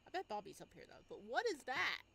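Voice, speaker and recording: A woman's voice: high voice, big pitch swings, fast talker, bright, forceful tone, slight background noise. A woman gaming streamer giving casual let's play commentary, thinking aloud and reading game text. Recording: clear game music underneath. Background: video game music.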